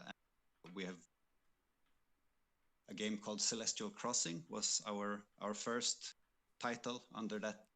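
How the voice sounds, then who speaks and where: Male game developer, lower register, halting delivery, informal online livestream conversation. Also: sharp tone. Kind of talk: casual livestream chat. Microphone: computer microphone on an online call with noise suppression.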